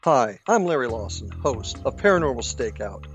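A man's voice: mid-range voice, big pitch swings, moderate pace. A middle-aged or older man delivering a scripted radio promo as a show host. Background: music.

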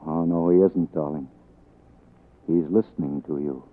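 A man's voice: a bass voice, steady tone, unhurried. A man acting a rueful, affectionate, hesitant alcoholic former film star in a 1940s radio drama. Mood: bitter. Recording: muffled, band-limited 1940s radio recording.

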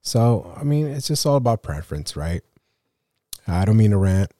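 A man's voice: lowish voice, very animated, moderate pace, mellow, dry recording. A man with a cold hosting a photography podcast, rambling in a casual rant full of "you know" and "right?". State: sick with a cold.

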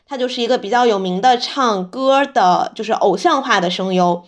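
Woman on a podcast, bit of a higher voice, chatting casually and explaining stuff. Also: normal speed. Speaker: podcast co-host. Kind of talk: casual chat about fan culture.

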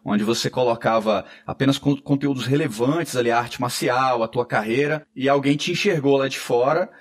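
A man's voice: mid-range voice, moderate pace. A man hosting a podcast interview who affirms and recaps his guests' points. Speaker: podcast host. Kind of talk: interview questions.

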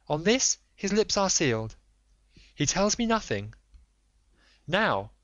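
Guy doing a high lively posh victorian lady begging for answers, dull lo-fi sound. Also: no room sound. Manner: earnest, imploring, formal. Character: anxious, noble Victorian lady pleading. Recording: dull lo-fi dry recording.

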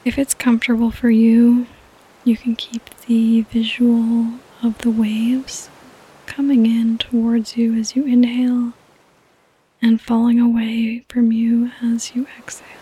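A woman gently guiding a sleep meditation as a podcast host, in a soothing, breathy voice that often nears a whisper. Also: a little high, monotone, measured pace, rough recording. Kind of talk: soft-spoken guided sleep meditation.

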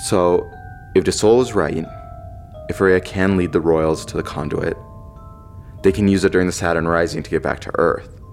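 An actor playing an impulsive working man of space, probing and excitable, in an audio drama. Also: low-pitched, normal speed, long pauses, faint music behind. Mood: questioning.

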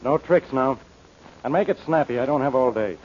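An actor playing an exasperated, suspicious middle-aged police inspector in an old-time radio detective drama, blustering and barking orders. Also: male voice, mid-range voice, animated, normal speed, close to an everyday voice. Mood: stern, threatening. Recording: muffled, noisy old radio broadcast recording.

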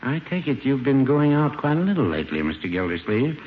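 An actor playing a mild, older shopkeeper with dry, hesitant understatement in a 1950s radio comedy. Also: male voice, medium pitch, very animated, brisk, lightly acted. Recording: muffled, noisy old radio recording.

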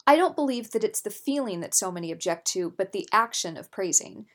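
A woman narrating scripted nonfiction as an audiobook reader, in a measured, articulate way. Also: mid-range voice, lively intonation.

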